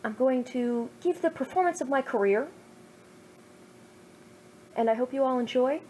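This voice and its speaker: Brief: woman in her twenties, higher voice, hamming up a reading of her teenage fan fiction, faint noise behind. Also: normal speed, warm tone. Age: 20s. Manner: self-mocking, jokey, over-the-top. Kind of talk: casual chat then dramatic story reading.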